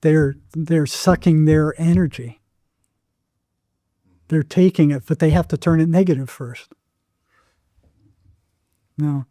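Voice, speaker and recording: A man's voice: a little high, steady tone, takes it slowly, pauses often, warm tone, dry recording, clean studio sound. A man speaking as an interview guest, telling anecdotes and explaining with emphatic, repeated phrasing. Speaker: psychotherapist, podcast guest.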